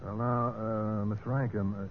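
Actor as a low-voiced probing policeman, old radio. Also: level tone, narrow, tinny audio, natural voice. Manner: hesitant, measured questioning with drawn-out pauses. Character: methodical, probing male police investigator. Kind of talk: 1940s-50s radio mystery acting. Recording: noisy band-limited old radio recording.

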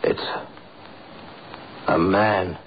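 An actor with an American accent playing a determined, domineering doctor in a 1930s radio horror drama, urgent and melodramatic. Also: male voice, deep voice, steady tone, very slow. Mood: horrified. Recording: noisy, muffled 1930s radio recording.

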